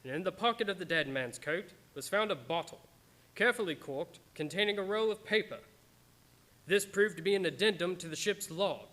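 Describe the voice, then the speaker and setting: A man playing the solemn narrator of a gothic horror radio play, with suspenseful, deliberate phrasing. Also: high voice, bright, forceful tone. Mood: ominous, suspenseful.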